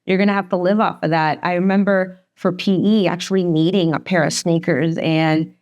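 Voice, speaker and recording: A woman in her forties, a divorce attorney telling her story as a podcast guest, talking fast and chatty with frequent laughs and a slight Northeastern American accent. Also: on the low side, steady tone, darkish tone, dull audio. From Sweden. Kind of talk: candid podcast conversation.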